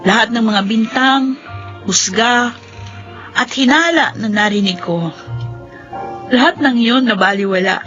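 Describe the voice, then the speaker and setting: A young radio drama heroine with a high, bright voice. She speaks with earnest, wounded feeling and a forgiving heart. The broadcast is slightly dull, with music underneath. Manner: emotive, earnest delivery. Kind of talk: Filipino radio drama acting and narration.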